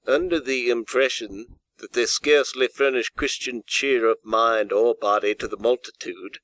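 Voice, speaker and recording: A man voicing a portly, courteous gentleman in a storybook reading, speaking formally and earnestly. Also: mid-range voice, fairly even intonation, moderate pace, dry recording, natural voice, poor audio. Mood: solemn appeal. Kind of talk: audiobook character dialogue.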